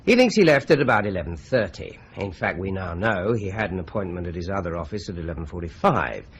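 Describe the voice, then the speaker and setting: A methodical detective. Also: male voice, deep voice, animated, fast, slight background noise. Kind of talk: 1950s-style BBC radio drama.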